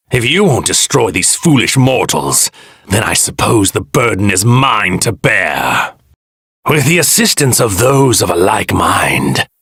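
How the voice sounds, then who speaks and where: An arrogant, villainous god in a battle game. The voice is gravelly and husky, swinging dramatically up and down. He delivers formal, pompous pronouncements at a slow, deliberate pace. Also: mid-range voice, a bit bright, no echo, studio quality, full character voice. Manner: pompous, grandiose, formal, archaic phrasing. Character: arrogant evil god inhabiting a warrior's stolen body. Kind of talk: theatrical video game battle lines.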